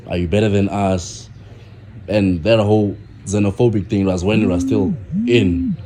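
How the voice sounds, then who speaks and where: A young man from South Africa, a guest on a podcast, talking casually with halting starts and repeated words. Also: fairly low pitch, fairly even intonation, background noise. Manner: halting, repeats himself, many fillers. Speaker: guest, content creator.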